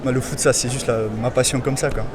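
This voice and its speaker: A young man chats up strangers outdoors in a city, cocky and teasing as he rambles on, his voice sitting at an ordinary middle pitch. Heavy traffic roars loudly around him the whole time. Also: steady tone, fast. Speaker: street prank host. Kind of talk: hidden-camera street pickup banter. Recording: loud street traffic noise.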